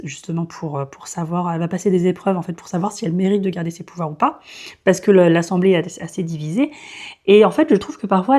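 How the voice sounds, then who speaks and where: A woman's voice: fairly low pitch, dark tone. A woman in her thirties giving a casual, hesitant book review full of fillers and restarts. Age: 30s. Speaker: book vlogger.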